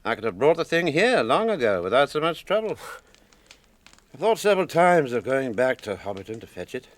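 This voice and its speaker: A man's voice: mid-range voice, very animated, a little fast, lightly acted. A man acting an elderly, chatty, old-fashioned hobbit with a British accent in a radio drama. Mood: cheerful, chatty.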